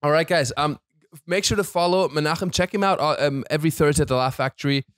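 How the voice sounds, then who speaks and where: A man in his thirties with a German accent, hosting a podcast with playful, teasing banter into a close boom mic. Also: quick pace, no room sound. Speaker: podcast host. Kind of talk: casual chat.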